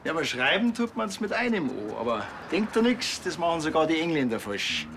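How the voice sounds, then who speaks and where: Dry Bavarian policeman, animated pitch. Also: a little fast, noisy background. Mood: dry, deadpan. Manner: dry, deadpan. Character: sardonic, unflappable male small-town police officer. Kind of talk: TV crime comedy acting.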